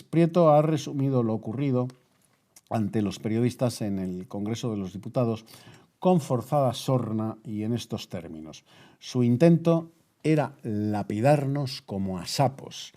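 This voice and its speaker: A man's voice: medium pitch, mellow. A man with an accent from Spain, presenting news as a bulletin host.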